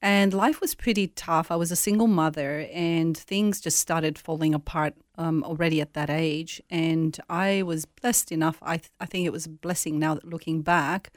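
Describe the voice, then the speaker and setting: A woman with an Italian accent, born in Italy, speaking as a guest in a radio studio interview in a soft, reflective, measured way. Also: deep voice, fairly even intonation, no room sound.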